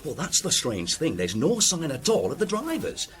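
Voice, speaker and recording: A man's voice: a little high, huge pitch swings, normal speed. A man playing a chatty, suspicious police constable in a vintage radio detective drama, colloquial and inquisitive.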